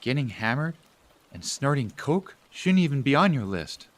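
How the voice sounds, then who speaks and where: A man's voice: mid-range voice, big pitch swings, normal speed, lightly acted. A man acting a frustrated, caring family-man brother in an audio drama, blunt and emphatic. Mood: exasperated. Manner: blunt, emphatic, swinging between exasperated and hushed.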